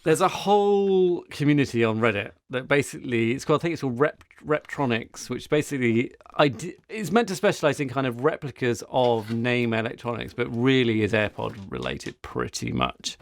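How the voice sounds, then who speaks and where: A man's voice: medium pitch, lively intonation, brisk, few pauses. A man co-hosting a podcast, telling a story in casual, rambling chat with frequent restarts. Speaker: podcast co-host.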